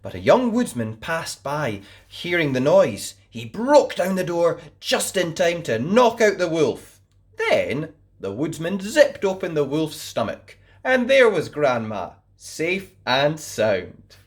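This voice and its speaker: A woman hosting a channel of read-aloud children's stories, reading gently and expressively. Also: low-pitched, sing-song, slow. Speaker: storyteller host.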